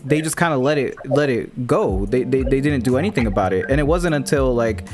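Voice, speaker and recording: A man leads a relaxed conversation in a halting way, restarting phrases and filling gaps with "like" and "uh." His pitch sits mid-range for a man. Clear music runs underneath. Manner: hesitant, repeats words, many "like" and "uh". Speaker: YouTuber host. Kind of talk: casual video-call conversation.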